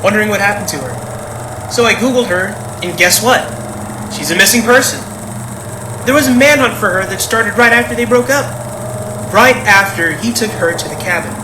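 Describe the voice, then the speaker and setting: An actor plays an uneasy, doubtful grown child in a naturalistic audio play. The delivery is hesitant and conversational, with trailing restarts. It sounds roomy and echoey, over clear background noise like a steady hum. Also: mid-range voice, lightly acted. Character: uneasy, doubtful grown child who suspects dad of murder. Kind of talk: naturalistic audio drama dialogue.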